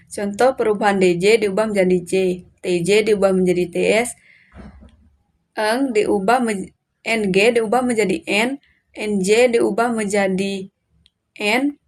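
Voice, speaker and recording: Woman, student presenter, medium pitch, scripted read-aloud, item-by-item recitation, occasional stumbles.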